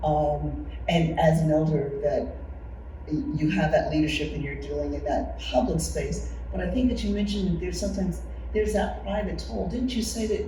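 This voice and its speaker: An interviewer leading a conversational oral history discussion in a halting, thoughtful way, repeating words along the way. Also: medium pitch. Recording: roomy echo, distant mic, faint noise.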